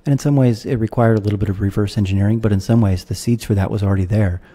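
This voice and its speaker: A man in his thirties with an American accent, a guest on a podcast interview who explains history in a measured, lecturing way over a video call. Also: lowish voice, steady tone, warm tone. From United States. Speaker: guest philosopher. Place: room at home with guitars. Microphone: studio mic with headphones, video call.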